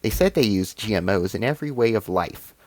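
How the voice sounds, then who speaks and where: A man's voice: on the low side, expressive, brisk, a little mellow, no room sound, natural voice. A man voicing a grim, suspicious alien military councillor in a story, pressing his argument.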